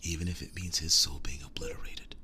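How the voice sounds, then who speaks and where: A man playing a devoted, tender werewolf boyfriend in an intimate ASMR roleplay, speaking softly and breathily, often dropping to a whisper, earnest and halting. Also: low voice, steady tone, natural voice. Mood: tender, solemn. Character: devoted, tender werewolf boyfriend sharing his soul with demon knight.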